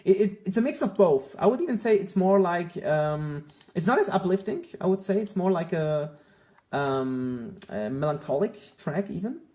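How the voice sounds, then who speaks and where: A man's voice: high-pitched, expressive, normal speed, darkish tone. A man whose first language is Polish hosts a casual live stream. He rambles with many fillers and restarts and greets chat viewers by name. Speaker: livestream host. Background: music playing.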